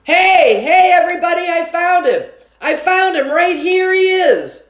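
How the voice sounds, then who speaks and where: A woman reading as an excitable, exasperated fourth-grade boy, animated and incredulous. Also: extremely high pitch, measured pace, reverberant, pitched above the performer's own voice. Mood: calling out, excited.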